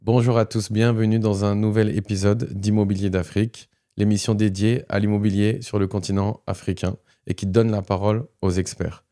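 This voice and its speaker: A man's voice: lowish voice, level tone, normal speed, few pauses, mellow. A man hosting a podcast interview, welcoming his guest and laughing easily. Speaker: podcast host.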